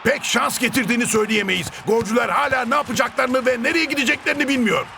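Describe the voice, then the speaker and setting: Very high-pitched excitable commentator. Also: male voice, quick pace, creaky, slight background noise, exaggerated voice. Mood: excited. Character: excitable male TV football commentator. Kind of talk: cartoon sports commentary. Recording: music behind the voice.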